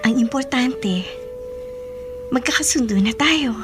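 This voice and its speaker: Brief: actress voicing a gentle young woman, high voice, very unhurried delivery. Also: music in the background. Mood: earnest. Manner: polite, slow and earnest. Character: young woman, grateful, soon to marry. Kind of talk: radio drama acting.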